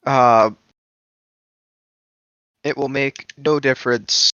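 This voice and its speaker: A man's voice: mid-range voice, fairly even intonation, extremely slow, pushed and bright, clean tone, dry recording, dull audio. A man taking part in a casual, sweary debate among friends. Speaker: panelist in a group discussion.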